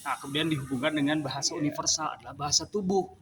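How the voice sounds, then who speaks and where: A man's voice: on the high side, very fast, fairly bright tone, a little hiss or hum. Lecturing man on a panel. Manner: lecturing, reasoning step by step. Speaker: mime discussion panelist. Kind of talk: panel discussion.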